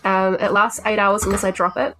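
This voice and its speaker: A woman's voice: mid-range voice, little pitch movement, a little fast, sharp tone, soft background music. A woman, chatting matter-of-factly as a player in a tabletop game. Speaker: player in a tabletop RPG podcast. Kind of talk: casual chat.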